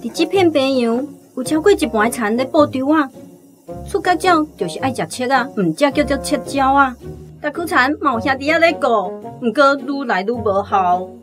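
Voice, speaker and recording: A woman's voice: high voice, expressive, normal speed, over music. A woman playing a talkative, good-humoured village farmer in a radio drama, with a Taiwanese accent. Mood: chatty, curious.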